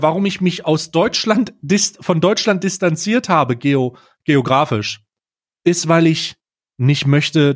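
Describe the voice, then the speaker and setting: A man talking casually as a podcast host, emphatic and fond of posing rhetorical questions. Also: on the high side.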